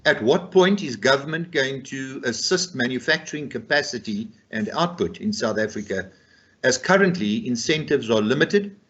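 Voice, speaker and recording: A male moderator thanks the speaker and reads an audience question in brief, formal phrasing. His pitch is ordinary, and the recording sounds dull and lo-fi. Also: lively intonation, normal speed, a bit bright. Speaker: event moderator. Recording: dull lo-fi audio.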